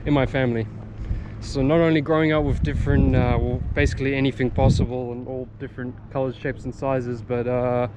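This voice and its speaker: A man's voice: mid-range voice, level tone, fairly quick. Young New Zealand man vlogging over street noise. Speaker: language-learning vlogger. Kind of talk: casual vlog, telling own life story to camera.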